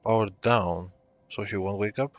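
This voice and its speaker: A man's voice: fairly low pitch, steady tone, moderate pace, dry recording. A man giving casual reactions while playing a video game, recorded on a close microphone. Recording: dull close mic over game music.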